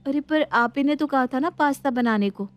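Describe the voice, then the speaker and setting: Woman acting a high-voiced, easily flustered young bride. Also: normal speed, no room sound, lightly acted. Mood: exasperated. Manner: chatty, questioning.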